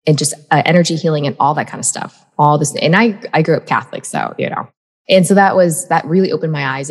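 A woman in her thirties from the United States, telling her life story as a podcast guest in a chatty way, full of "um" and "like". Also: low-pitched. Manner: chatty, many fillers like "um" and "like". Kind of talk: casual storytelling. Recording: long hall reverb.

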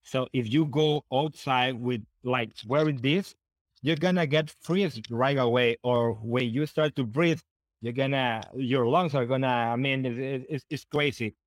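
Honduran man speaking halting, accented English. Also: medium pitch, no echo. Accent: Honduran Spanish. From northern coast of Honduras. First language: Spanish. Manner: halting, repeats words while searching for English phrasing. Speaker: podcast guest. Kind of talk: casual interview conversation.

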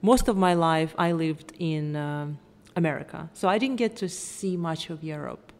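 A woman's voice: low-pitched, moderate pace, big-room sound. A young woman from Moscow with a Russian accent, a podcast co-host who acts, bubbly and laughing as she chats. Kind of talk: casual chat.

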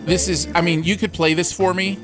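A man co-hosting a casual music review podcast, chatty and animated, his voice going a bit hoarse. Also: high-pitched, fairly quick. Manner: animated, laughs easily, thinks aloud. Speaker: podcast co-host. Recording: music playing underneath.

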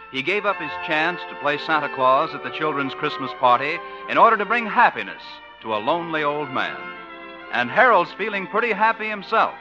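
A man working as a radio announcer, reading scripted narration in 1950s broadcast style. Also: on the high side. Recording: muffled old radio recording. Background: music.

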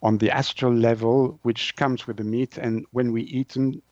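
Man, Swiss French accent, interview guest, halting explanations, medium pitch, muffled lo-fi sound. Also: little pitch movement, darkish tone, no echo. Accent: French-speaking Swiss. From Switzerland. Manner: halting, searching for words.

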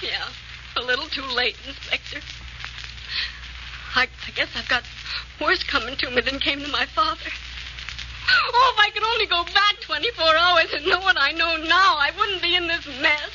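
A woman's voice: very high-pitched, moderate pace, long pauses. A woman playing a frightened, remorseful young getaway driver in a 1940s radio drama, pleading and hesitant. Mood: fearful, remorseful. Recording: hissy muffled old radio broadcast.

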